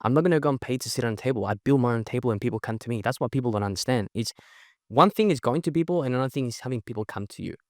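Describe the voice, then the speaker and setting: A young man whose first language is Spanish, with a mixed Spanish and Australian accent, talking as a podcast guest in an energetic, emphatic way and often ending with "right?". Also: mid-range voice, no room sound, clean studio sound. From Chile. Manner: fast, emphatic, leans on "right?" tags. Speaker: podcast guest, networking event organizer. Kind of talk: energetic casual interview talk.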